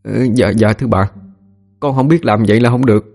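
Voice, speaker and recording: A man's voice: on the low side, fairly quick, a little mellow, soft background music, pitched above the performer's own voice. A man voicing a timid young servant boy, meek and tearful, with a Southern Vietnamese accent in an audio story. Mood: frightened.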